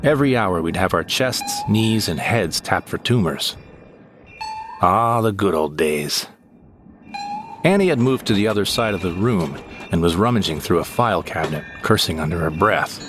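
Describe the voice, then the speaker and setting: A man's voice: deep voice, expressive, normal speed, noisy background. A man playing a wistful, droll sidekick who narrates a scripted sci-fi comedy audio drama in the first person.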